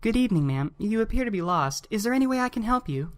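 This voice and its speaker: Woman as a lively, courteous pony receptionist. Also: on the high side, quick pace. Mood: helpful. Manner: courteous, helpful, apologetic. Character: kindly, polite female pony receptionist.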